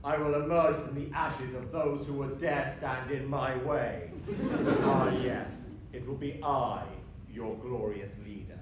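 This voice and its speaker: A man's voice: medium pitch, fairly even intonation, a little slow, natural voice. A man playing a pompous, exasperated villain wizard in a comic stage pantomime, declaiming grandly. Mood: commanding, indignant. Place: stage in a hall with live audience. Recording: echoey theatre, distant mic, noisy.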